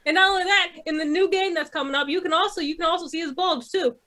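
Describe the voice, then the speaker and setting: A woman's voice: extremely high pitch, fast, over a noisy crowd. A woman co-hosting a casual argumentative chat, insistent and foul-mouthed in her delivery. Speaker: co-host.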